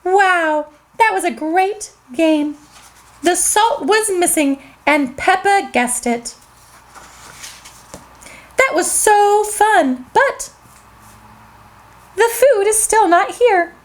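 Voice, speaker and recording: A woman reading a children's story aloud in an animated, sing-song way as the host. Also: extremely high pitch, drawn-out words, long pauses.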